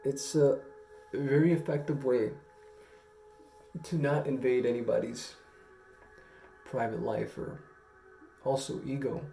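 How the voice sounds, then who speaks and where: Young male vlogger, mellow and fry-laden, halting reflective delivery, recorded in an echoey home room. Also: mid-range voice, steady tone. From Guam. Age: young adult. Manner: slow, halting, earnest, with long pauses. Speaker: storytelling vlogger. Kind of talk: reflective personal talk to camera. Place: small room at home, indoors. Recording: roomy echo, faint music.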